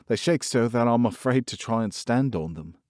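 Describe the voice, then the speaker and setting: A man's voice: medium pitch, animated, normal speed, darkish tone, no echo, clean studio sound, close to an everyday voice. A man reading the part of a frail, imperious young boy, earnest and commanding.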